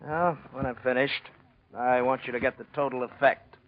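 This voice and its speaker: An actor playing a reluctant, uneasy artist in 1940s radio drama style, hesitant and evasive. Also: male voice, medium pitch, fairly even intonation, moderate pace, close to an everyday voice. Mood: firm, exasperated. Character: uneasy married male portrait painter, reluctant and tense. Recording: muffled old radio recording with hiss.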